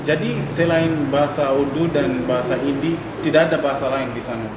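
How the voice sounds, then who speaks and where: A man's voice: medium pitch, steady tone, fast talker, reverberant. Male host, casual curious interviewer, noisy distant room sound. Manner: curious questioning, switching Indonesian and English. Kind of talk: casual chat.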